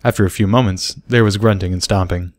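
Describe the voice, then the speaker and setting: A man's voice: fairly low pitch, steady tone, quick pace, no echo. A man reading a story aloud as scripted narration. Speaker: audiobook reader.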